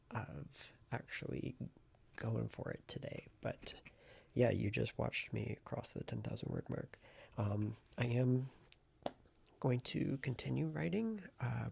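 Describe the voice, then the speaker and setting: Male vlogger, heavy vocal fry and some rasp, informal and rambling, thinking aloud. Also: medium pitch, warm tone. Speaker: vlogger and hobby novelist. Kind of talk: casual writing vlog chat.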